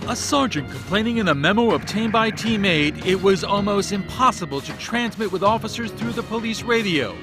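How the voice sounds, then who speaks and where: A man's voice: high-pitched, lively intonation, fairly quick, few pauses, over music. An American man working as a television reporter, reading scripted investigative news narration.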